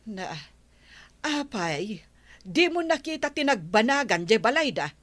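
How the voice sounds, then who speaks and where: A woman's voice: fairly high pitch, very animated, measured pace, fairly bright tone, exaggerated voice. A woman playing a nagging, questioning villager in an Ilocano comedy radio drama. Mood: challenging.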